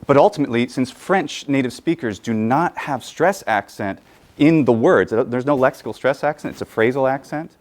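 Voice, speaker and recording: A man's voice: mid-range voice, normal speed, darkish tone. Man giving a conference talk. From United States. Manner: thinking aloud with many fillers and restarts. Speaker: conference lecturer. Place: conference room.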